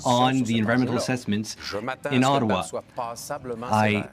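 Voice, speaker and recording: A man's voice: mid-range voice, steady tone, normal speed, a loud crowd. A man interpreting a party leader's debate answer live, phrase by phrase.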